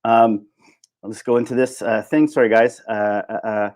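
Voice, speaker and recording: A man's voice: lowish voice, fairly even intonation, moderate pace, a little mellow, no echo. A man speaks in an unscripted, conversational livestream style. He rambles through his points and repeats phrases to drive them home. The sound is dry, with very little room in it. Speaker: livestream host. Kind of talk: casual unscripted livestream rant, reading and answering chat.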